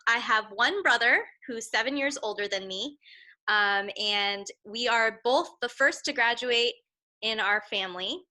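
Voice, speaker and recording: A young woman introduces herself informally as a teacher. Her voice is very bright and a little higher than average. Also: measured pace, rough recording. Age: young adult. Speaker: second grade teacher.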